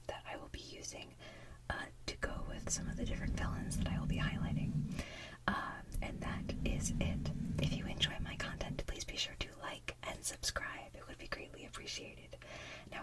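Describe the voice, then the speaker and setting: A woman hosting an ASMR video, speaking in a soft, breathy, unhurried whisper. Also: distant mic. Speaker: ASMR channel host.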